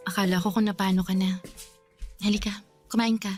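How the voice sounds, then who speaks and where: An actress playing a caring, anxious young girlfriend in a Tagalog radio drama, expressive and worried, with music behind her. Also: mid-range voice, fairly quick, close to an everyday voice. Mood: concerned, tender. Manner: expressive, worried, pressing with questions. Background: background music.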